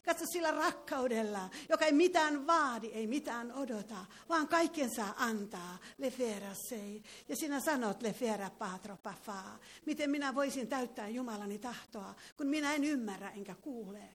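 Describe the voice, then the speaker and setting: A woman leads a fervent charismatic prayer in a meeting hall. Her voice sits somewhat high, and she breaks into tongues between phrases. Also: animated, few pauses, fairly bright tone. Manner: fervent, prays with tongues interjected. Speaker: preacher.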